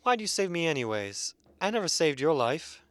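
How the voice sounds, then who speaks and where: A man's voice: a little high, sing-song, moderate pace, fairly bright tone, no room sound. A man playing a wounded, wary and earnest masked superhero in a radio drama. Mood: wary, surprised.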